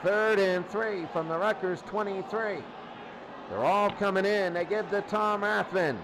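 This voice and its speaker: High-pitched male football announcer over loud noise. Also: unhurried. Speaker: play-by-play sports announcer. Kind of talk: American football radio commentary. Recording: loud steady noise behind the voice.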